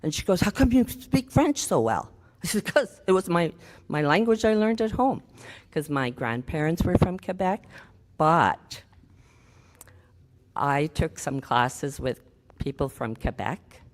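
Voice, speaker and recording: Older woman from Alberta, very low voice, chatty audience member, hall echo. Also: animated, unhurried. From Alberta, Canada. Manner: chatty, laughs between points. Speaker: audience member asking a question. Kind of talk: casual Q&A at a public meeting. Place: community hall. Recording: long hall reverb.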